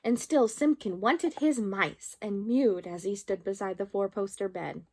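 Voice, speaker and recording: A woman's voice: mid-range voice, a little slow, darkish tone. A woman reading a children's storybook aloud expressively, drawing out some phrases.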